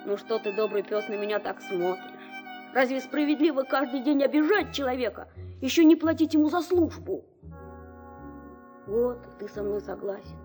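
A woman's voice: fairly high pitch, lively intonation, normal speed, darkish tone, faint music behind, natural voice. A woman playing a small, naive, good-hearted boy who thinks aloud earnestly in an audio fairy tale. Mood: aggrieved.

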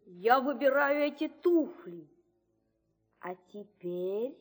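A woman's voice: fairly high pitch, lively intonation, takes it slowly, slightly rough, full character voice. A woman voicing a small, clever, bold male hero in an audio fairy tale, speaking confidently and with reproach. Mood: defiant.

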